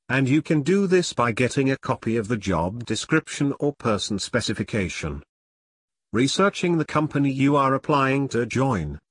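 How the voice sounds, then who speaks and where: A man's voice: mid-range voice, a bit bright, dry recording. Man narrating a tutorial, very dry sound. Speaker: presenter of career advice tutorial. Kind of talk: scripted instructional narration.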